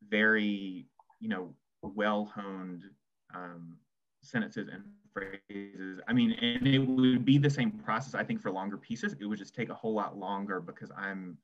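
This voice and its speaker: Low-voiced man, dull audio, speaking deliberately. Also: fairly bright tone. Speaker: writer and panel guest. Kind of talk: reflective Q&A talk.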